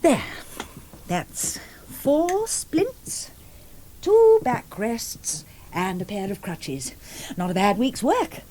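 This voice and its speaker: An actress playing a brisk, dotty middle-aged English wife and mother in a period radio drama, with an English accent. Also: a little high, big pitch swings, warm tone, full character voice. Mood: brisk, excited.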